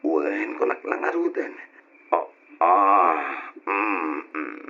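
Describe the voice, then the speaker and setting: An actor playing a cheerful young man in an old Ilocano radio drama, animated and full of exclamations. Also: sits low, drawn-out words, gravelly, a little hiss or hum, full character voice. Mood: insistent, tipsy. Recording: dull lo-fi old radio recording.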